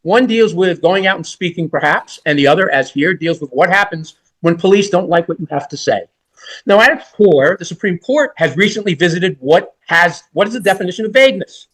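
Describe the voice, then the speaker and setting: High-pitched older man arguing in court. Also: expressive, brisk, fairly bright tone, low-quality recording. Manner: rhetorical, emphatic, repetitive. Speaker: defense lawyer. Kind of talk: courtroom oral argument.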